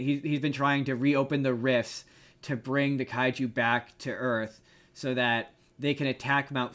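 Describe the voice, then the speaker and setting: A man chatting casually as a podcast co-host, explaining things in an informal, slangy way with halting repeats. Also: medium pitch, a bit bright. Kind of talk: casual movie review chat.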